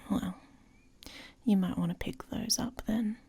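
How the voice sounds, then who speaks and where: A woman playing a dominant, teasing but protective female werewolf in intimate ASMR roleplay, in a breathy, husky voice that often drops to a whisper. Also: medium pitch, warm tone, full character voice. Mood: teasing, commanding. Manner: slow, teasing, commanding.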